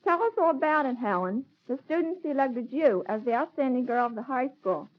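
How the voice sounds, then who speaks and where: A woman's voice: high voice, expressive, brisk. A teenage American young woman hosting as student editor, reciting scripted dialogue formally on a dull 1940s radio recording. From United States. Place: live radio broadcast.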